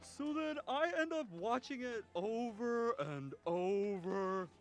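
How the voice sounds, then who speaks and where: A grumbling young schoolboy in a game, with a very high voice. He sounds exasperated and complains in a lively, varied way. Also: takes it slowly, faint music behind. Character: grumbling young schoolboy who loves horror movies.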